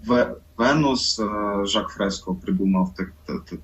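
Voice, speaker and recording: A man talking reflectively in conversation, slow and halting with many pauses and fillers. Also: fairly low pitch, far from the mic, faint music behind, poor audio.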